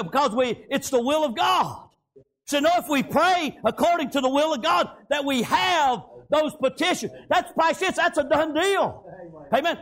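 A man's voice: very high voice, fairly bright tone. A man preaching a fervent revivalist sermon, impassioned and exhorting, punctuating phrases with amen and praise God.

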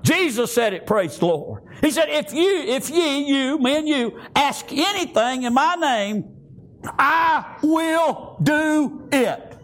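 A man's voice: very high voice, very animated, unhurried. An older man with a Southern American accent preaching a fervent, folksy church sermon, punctuated with frequent amens and exclamations of praise.

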